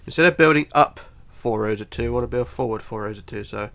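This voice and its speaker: A man's voice: medium pitch, fast talker, vocal fry, a bit bright. A man with a bunged-up, congested voice giving a casual step-by-step building tutorial, counting aloud methodically. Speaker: tutorial host.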